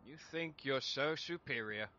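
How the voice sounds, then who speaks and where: A man's voice: mid-range voice, a little slow, pushed and bright. A man playing a game, giving short spoken commands and questions to a computer. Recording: dull, noisy gameplay recording.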